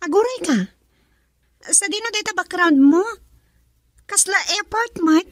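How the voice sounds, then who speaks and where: An actress with an Ilocano accent playing a young woman on a phone call, slow and questioning, in a radio drama. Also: extremely high pitch, expressive, no room sound, clean studio sound. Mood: curious.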